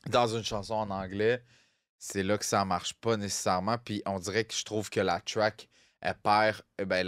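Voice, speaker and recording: A man's voice: on the low side, clean tone, no echo, studio quality. A young man with a Québécois French accent, co-hosting a podcast in casual, rambling talk that is full of fillers and English phrases. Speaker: podcast co-host. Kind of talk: casual chat.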